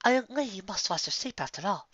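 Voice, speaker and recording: A man's voice: sits high, lively intonation, quick pace, a bit bright, husky, dry recording, dull audio, pitched above the performer's own voice, full character voice. A man voicing a refined, chatty young female unicorn with genteel, formal manners in a story reading. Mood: chatty, indulgent.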